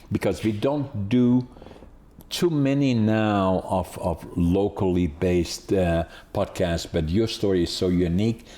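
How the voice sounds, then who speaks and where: Low, mellow older Dutch-accented man. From Netherlands. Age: 80s. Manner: rambling, many restarts and fillers. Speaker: podcast host. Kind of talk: casual interview chat.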